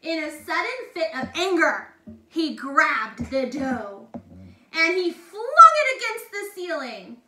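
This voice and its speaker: A woman's voice: extremely high pitch, very animated, takes it slowly, sharp tone. A woman teacher narrating a children's storybook expressively and emphatically, speaking directly to young children. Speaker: teacher reading aloud to children. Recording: echoey room with faint noise.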